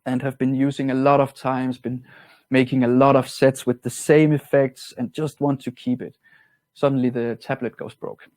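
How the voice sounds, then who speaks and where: Man with a Danish accent, mellow and dry. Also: mid-range voice, moderate pace, no echo. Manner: relaxed, plain-spoken, slightly non-native grammar. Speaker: product trainer. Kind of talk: webinar software walkthrough.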